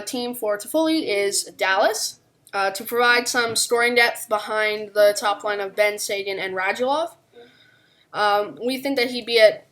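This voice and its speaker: A woman's voice: mid-range voice, fairly bright tone. A woman co-hosting a podcast, talking through unscripted sports analysis. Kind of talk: unscripted hockey analysis discussion.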